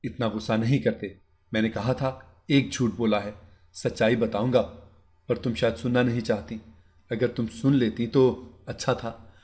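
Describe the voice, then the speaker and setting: Man doing a gentle young husband, soft, patient, pleading, storybook. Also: medium pitch, fairly even intonation, normal speed, close to an everyday voice. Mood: calm.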